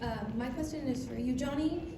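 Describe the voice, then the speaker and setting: A woman's voice: high-pitched, moderate pace, noisy background. A woman fan asking a question at a convention panel, nervous and giggly. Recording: loud crowd in an echoey hall.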